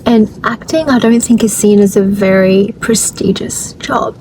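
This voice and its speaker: A woman's voice: mid-range voice, unhurried. A woman who grew up in Australia, speaking candidly as an actress guest in a casual interview chat. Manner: candid, animated, drops to a whisper for asides. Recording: clear background noise.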